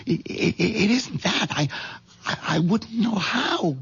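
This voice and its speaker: Meek middle-aged clerk, nervous and stammering, unhurried delivery, old radio drama with dulled sound. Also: male voice, high voice, lively intonation, slightly rough, heavily acted. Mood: flustered, nervous. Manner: hesitant, stammering. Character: mild, hen-pecked middle-aged bank clerk turned nervous plotter. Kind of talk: 1970s radio drama acting. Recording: dull lo-fi 1970s radio recording.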